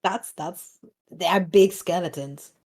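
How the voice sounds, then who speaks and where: A woman's voice: on the low side, takes it slowly, darkish tone, slightly rough. A woman who laughs often, chatting in a giggly way with short replies while streaming game development. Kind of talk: casual chat on a dev stream.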